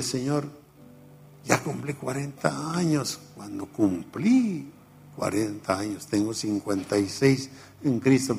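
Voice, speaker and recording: A man's voice: mid-range voice, vocal fry, darkish tone, slightly rough. A man in his seventies preaching a radio sermon, reflective and storytelling, chuckling at himself. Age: 70s.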